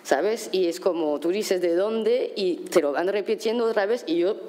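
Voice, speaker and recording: A woman's voice: medium pitch, moderate pace, dark tone. Frank woman. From grew up in France. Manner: frank, conversational, lots of filler phrases. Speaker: panel speaker. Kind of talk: candid panel talk. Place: conference panel.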